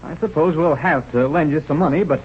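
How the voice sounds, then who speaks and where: A man's voice: on the high side, fairly quick, breathy edge. An actor playing a stingy, bossy small-town man, folksy and argumentative, in an old radio play. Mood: grudging, bossy. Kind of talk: 1930s radio drama acting. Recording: muffled old radio recording.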